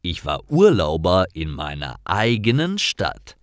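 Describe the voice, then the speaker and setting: Deep, slow male story narrator. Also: huge pitch swings, clear, resonant tone, no room sound, clean studio sound. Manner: careful, simple short sentences. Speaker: narrator of language-learning stories.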